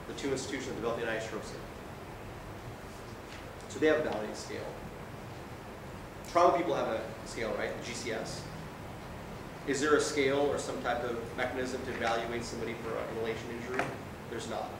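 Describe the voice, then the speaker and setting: Man lecturing in a very echoey room. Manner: conversational, often checking in with "right?" and "okay?". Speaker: emergency physician lecturing. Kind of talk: informal medical lecture with anecdotes. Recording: very echoey room, distant mic, noisy rumble.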